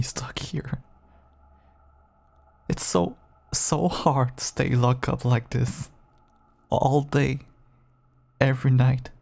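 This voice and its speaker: Man acting a slow, gravelly, pleading caged vampire. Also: medium pitch, heavily acted. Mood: aching, subdued. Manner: clingy, pleading, swinging from hushed endearments to bitter outbursts. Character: caged feral male vampire pleading with an estranged partner. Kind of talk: dramatic intimate audio roleplay monologue.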